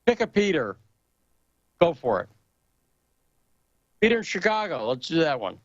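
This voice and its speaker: A man's voice: on the high side, sing-song, unhurried, no echo. A man hosting a call-in radio talk show, talking loosely and joking with callers. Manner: loose, rambling delivery with asides to callers. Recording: muffled, lo-fi radio broadcast audio.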